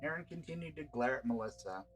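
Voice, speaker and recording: A man's voice: medium pitch, lively intonation, fast talker, faint music behind. A man reading fantasy story narration as the host and narrator of a game.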